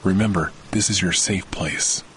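A man reading a scripted guided sleep meditation as a relaxation podcast host, in a soothing, measured delivery. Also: low voice, a little hiss or hum, poor audio. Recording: loud rain and thunder sound bed. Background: rain on leaves, soft thunder.

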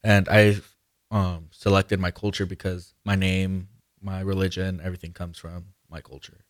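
A man's voice: low-pitched, level tone, normal speed, dry recording, clean studio sound. A teenage young man from Mexico giving casual, hesitant interview answers as a student guest, often saying "like". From Guanajuato, Mexico. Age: teens, high school senior. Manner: hesitant, peppered with "like". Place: radio studio.

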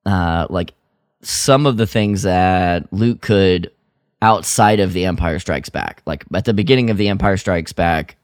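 A man co-hosting a podcast, chatting casually through a story recap in a halting, loosely phrased way that often trails off. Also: low-pitched, dry recording, clean studio sound. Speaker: podcast co-host.

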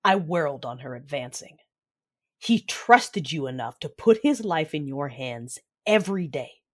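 Woman as a low-voiced, commanding Sith warrior mother. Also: lively intonation, unhurried. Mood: angry. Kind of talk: first-person audio drama narration and dialogue.

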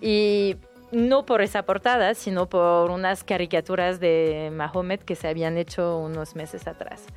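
A woman's voice: fairly low pitch, steady tone, moderate pace, soft background music. Hesitant woman with French accent. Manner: hesitant, frequent restarts and fillers. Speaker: guest book recommender. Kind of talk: casual radio interview.

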